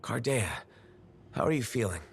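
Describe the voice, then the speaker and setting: An actor playing a calm, reassuring male hero in video game dialogue. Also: mid-range voice, normal speed, vocal fry, husky, exaggerated voice. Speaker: player character.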